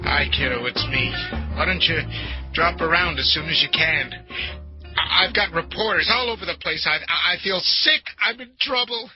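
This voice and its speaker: A man's voice: on the high side, moderate pace, breathy edge, muffled recording, faint music behind. A man playing a distressed friend leaving a stammering, anxious phone message in a radio drama.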